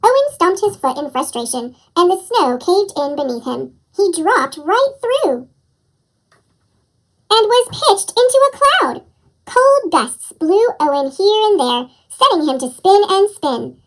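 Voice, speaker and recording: A narrator reading a children's picture book aloud in an animated way, with a squeaky, childlike chipmunk voice effect. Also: unhurried, rough recording. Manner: animated storytelling. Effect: chipmunk voice pitch-up effect. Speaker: storybook narrator.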